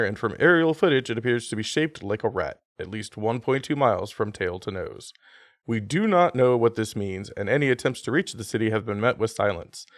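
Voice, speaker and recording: A man playing a grave, formal evening news anchor in an audio drama, reading a measured bulletin. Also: mid-range voice, no room sound, clean studio sound, natural voice.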